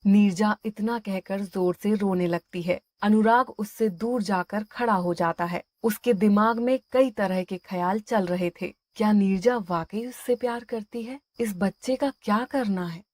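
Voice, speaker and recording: A woman's voice: mid-range voice, a little slow, few pauses, a little mellow, dry recording, clean studio sound. A woman telling a scripted dramatic story as its narrator.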